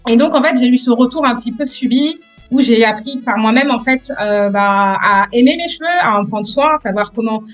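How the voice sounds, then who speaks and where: A woman in her thirties from France, a guest entrepreneur answering interview questions with many hesitant pauses. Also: a little high, soft background music. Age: 30s. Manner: hesitant, many "euh" pauses, soft-spoken.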